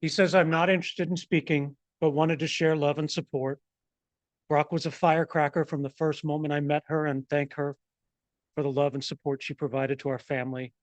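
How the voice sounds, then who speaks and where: Brief: male guest reading a message aloud, loud and monotone, dry close sound. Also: fairly high pitch, fairly quick, no room sound.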